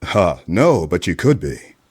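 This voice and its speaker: A man's voice: deep voice, big pitch swings, a little slow, a little mellow, breathy edge, heavily acted. A man playing a dogged, wordy private detective with theatrical hardboiled delivery in a comedic noir radio play. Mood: probing. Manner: theatrical, hardboiled first-person narration and probing questions.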